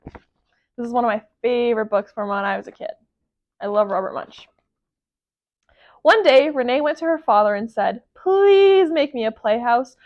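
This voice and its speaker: A woman reading a children's picture book aloud as a library storyteller, expressive and emphatic. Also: fairly high pitch, moderate pace, long pauses, dull audio.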